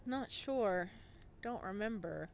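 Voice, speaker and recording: A woman voicing a quiet, cryptic male recluse from a visual novel, terse and understated. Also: mid-range voice, animated, takes it slowly, vocal fry, a little hiss or hum.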